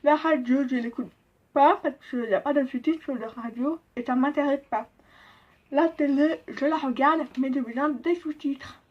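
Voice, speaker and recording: A young deaf woman with a deaf-speech accent, explaining things in a casual vlog, halting with many pauses and fillers. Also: sits high. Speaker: vlogger. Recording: dull lo-fi sound.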